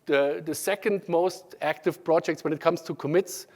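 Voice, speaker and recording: A man from Germany with a German accent, giving a conference tech talk, halting with many ums and restarts. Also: a little high, a little mellow. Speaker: conference speaker. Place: large conference hall. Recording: hall reverb.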